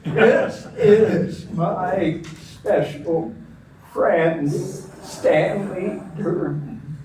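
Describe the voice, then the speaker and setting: A man interviewing a guest at a church gathering, slowly introducing people to the audience in a large meeting room. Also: high-pitched, a little mellow. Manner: slow, deliberate speaker addressing a room. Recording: echoey room from far off, faint noise.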